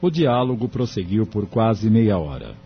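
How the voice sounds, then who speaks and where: A man's voice: fairly low pitch, big pitch swings, a little slow, a little hiss or hum. A Brazilian man reading scripted narration for an audio drama. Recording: dull recording over clear music.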